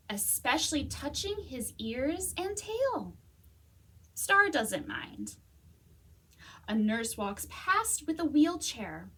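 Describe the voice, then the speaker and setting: A woman's voice: high-pitched, fairly bright tone. A woman hosting a children's storytime, reading aloud expressively and speaking to young listeners. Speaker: library storytime host.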